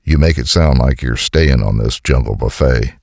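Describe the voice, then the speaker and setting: An actor playing a gruff, sardonic American colonel, a hardened wisecracking commando, in an audio comic. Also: male voice, rumbling low, moderate pace, darkish tone, dry recording, studio quality, exaggerated voice. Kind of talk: dramatic audio comic dialogue.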